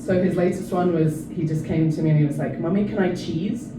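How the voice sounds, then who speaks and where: A woman's voice: fairly low pitch, steady tone, a little fast, distant mic. A South African-born woman in her thirties doing a stand-up set, chatty and self-mocking, often laughing at her own jokes. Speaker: stand-up comedian. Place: comedy club stage. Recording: echoey room, mic from afar, noisy.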